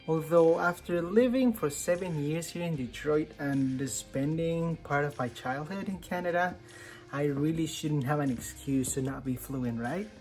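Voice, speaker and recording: A man's voice: fairly high pitch, unhurried, a little mellow. A man in his twenties, a native Spanish speaker, chatting casually in a fitness vlog, hesitant and self-mocking, full of fillers and restarts. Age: 20s. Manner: dry, self-mocking humor. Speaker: fitness vlogger. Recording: music playing under the voice.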